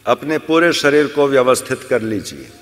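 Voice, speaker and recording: A man giving guided yoga nidra meditation instructions in short, measured phrases with deliberate pauses. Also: mid-range voice, big pitch swings, a bit bright. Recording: long hall-like reverb.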